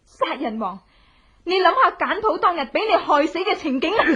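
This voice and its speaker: Cruel vengeful widow, high voice, taunting n theatrical, hong kong cantonese radio horror. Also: female voice, sing-song, moderate pace, bright, forceful tone, lo-fi sound, exaggerated voice. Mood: menacing, taunting. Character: vengeful widow, cruel and gloating, torturing her husband's killer.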